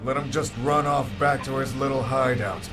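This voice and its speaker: A man voicing a smug, cruel male jackal villain who taunts in a drawn-out, sneering way. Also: medium pitch, over music, close to an everyday voice. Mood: irritated.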